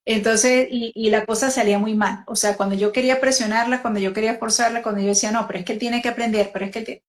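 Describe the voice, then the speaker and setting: A woman born in Venezuela hosting a talk, speaking reflectively and with measured restarts about raising her children. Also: on the high side, steady tone. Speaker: host, mother. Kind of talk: conversational talk.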